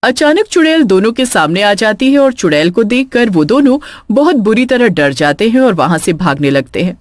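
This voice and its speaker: A woman's voice: mid-range voice, very animated, normal speed, no echo. A woman narrating a scripted horror story in a dramatic storytelling style.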